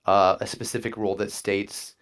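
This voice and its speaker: A man speaks as a guest in a relaxed interview, his voice sitting somewhat low. He rambles with enthusiasm and acts out quick back-and-forths as he explains himself. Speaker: guest, monk class player. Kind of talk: casual interview chat.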